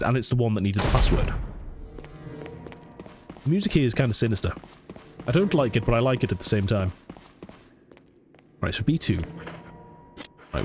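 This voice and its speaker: Sniffly man with a cold. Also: on the low side. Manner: chatty, thinks aloud, reads text slowly. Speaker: let's play gamer. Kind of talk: casual gameplay commentary, reading in-game notes aloud. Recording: faint game music behind.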